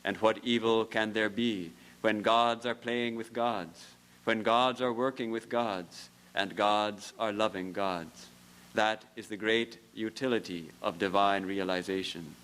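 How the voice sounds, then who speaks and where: A man with a fairly low voice gives a temple lecture as a monk. He tells small stories with soft laughter and builds his points through earnest repetition. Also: fairly even intonation, measured pace, smooth. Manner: storytelling, with soft laughs and earnest repeated phrases. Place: temple hall.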